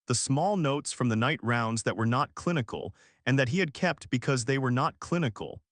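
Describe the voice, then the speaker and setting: A man narrates a story in a measured, steady storytelling style. His pitch moves a lot across each sentence. The recording is dry and close. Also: dry recording. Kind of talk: scripted audiobook narration.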